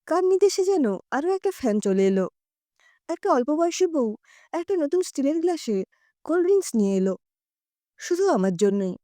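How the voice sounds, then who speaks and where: A woman narrating a story in an expressive first-person storytelling style. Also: sits high, normal speed, long pauses, dry recording, clean studio sound.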